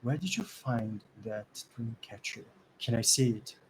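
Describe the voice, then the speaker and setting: A man's voice: mid-range voice, animated, unhurried, a little mellow, a little hiss or hum, lightly acted, low-quality recording. A man doing a stern, impatient searcher. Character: stern worried man searching for lost kids.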